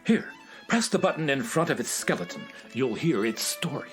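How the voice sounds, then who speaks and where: An actor playing a bumbling, politely reverent temple curator in a children's radio comedy, halting and deferential. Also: mid-range voice, very animated, soft background music.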